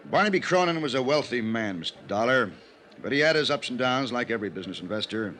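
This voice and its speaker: An actor playing an anxious, insistent older business manager in an old-time radio drama, speaking earnestly and urgently. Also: male voice, medium pitch, very animated, fast talker, fairly bright tone. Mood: guarded, explaining. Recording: noisy old radio recording.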